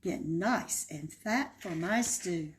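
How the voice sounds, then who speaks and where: A woman's voice: fairly low pitch, takes it slowly. A woman voicing a greedy male wolf in a children's storybook, talking in a sly, wheedling way. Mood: scheming.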